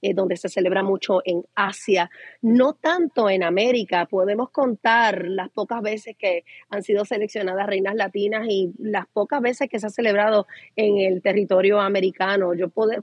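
A woman with a Puerto Rican accent, co-hosting a chat show, explaining in a measured way with frequent "eh" fillers. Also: mid-range voice, slightly rough, no room sound, highs cut off. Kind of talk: casual talk-show chat.

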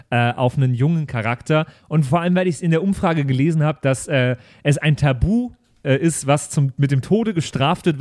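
A man's voice: a little high, a little mellow, no room sound, studio quality. A man chatting casually as a podcast host and role-play player. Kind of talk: casual group discussion of a role-play campaign.

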